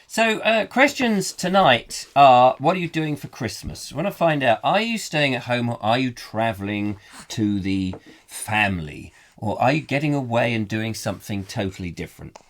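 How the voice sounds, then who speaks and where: A man hosting a comic live chat show, playful and silly, reading out viewer comments in a croaky voice. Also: medium pitch, animated, normal speed. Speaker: host.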